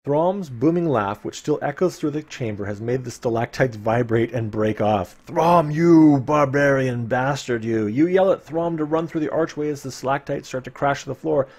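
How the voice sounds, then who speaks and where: Guy live reading a fantasy gamebook, medium pitch, playful, chatty asides. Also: normal speed, few pauses, a little mellow. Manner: playful, informal, dramatic when reading. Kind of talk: live storytelling read-aloud with casual asides.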